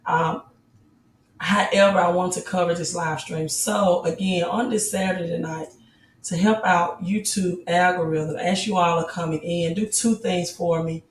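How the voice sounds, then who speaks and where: Hoarse raspy woman, casual livestream host, roomy distant mic with faint music under it. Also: on the low side, steady tone, unhurried, a little hiss or hum. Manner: greets viewers, urges likes and subscribes.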